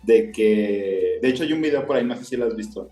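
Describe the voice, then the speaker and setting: A man's voice: fairly low pitch, expressive, mellow. Chatty male host in a roomy room. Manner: casual, chatty, quick asides. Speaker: podcast host. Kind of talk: podcast interview. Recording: roomy echo with faint music.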